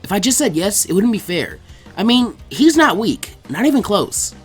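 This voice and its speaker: A man's voice: high voice, very animated, normal speed, husky, soft background music, voice pushed up, exaggerated voice. A man as a blunt, teasing teenage girl. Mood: frank. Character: blunt, smirking, competitive teenage ninja girl.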